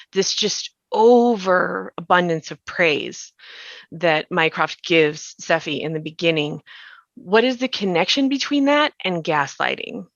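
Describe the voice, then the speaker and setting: A woman's voice: lowish voice, a bit bright, dry recording. A woman hosts a podcast, interviewing in a casual, discursive way full of conversational asides. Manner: discursive, peppers speech with "you know". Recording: dull, lo-fi dry audio.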